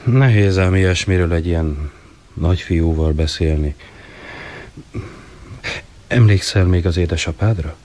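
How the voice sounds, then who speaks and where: A man's voice: sits low, pauses often, a little mellow, natural voice. A man playing an earnest, awkward police sergeant in a radio drama, speaking hesitantly and courteously in a fatherly way. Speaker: actor in a radio play. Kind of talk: 1980 radio drama acting.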